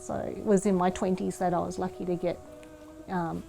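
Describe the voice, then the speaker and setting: A woman's voice: on the low side, level tone, moderate pace, gravelly, mellow, soft background music. A middle-aged woman with an Australian accent, telling personal stories casually as an interview guest. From Perth, Australia. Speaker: interview guest, children's TV presenter. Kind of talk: casual anecdotal storytelling.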